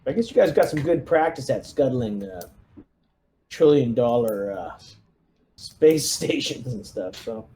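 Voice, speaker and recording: A man's voice: medium pitch, big pitch swings, unhurried, dark tone. A man playing a tabletop roleplaying game, speaking casually and hesitantly in an understated way, with some background noise. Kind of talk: casual in-character game chat. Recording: background noise, room ambience.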